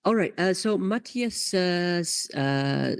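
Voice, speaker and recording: Gravelly-voiced man hosting a live chat. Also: high-pitched, expressive, slow pace, dry recording. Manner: chatty, many fillers and restarts. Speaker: karting coach hosting a live session. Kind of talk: live-stream chat, reading comments.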